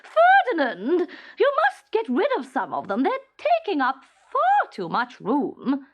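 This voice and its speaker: A woman voicing a bossy, sharp-tempered storybook queen with an imperious, scolding manner. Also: sits high, wildly swooping pitch, takes it slowly, background noise, voice pushed up, exaggerated voice. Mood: furious scolding.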